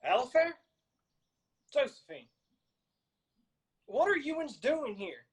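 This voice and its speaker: Male actor as naive young mountain fellow, radio drama; Appalachian, breathy, slow, with lively pitch swings. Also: full character voice. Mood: puzzled.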